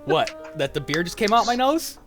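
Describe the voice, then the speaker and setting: Sweary young man, higher-pitched lively voice. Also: normal speed. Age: young adult. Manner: crude, sweary, joking. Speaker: show cast member. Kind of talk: casual group chat. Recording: music behind. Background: music.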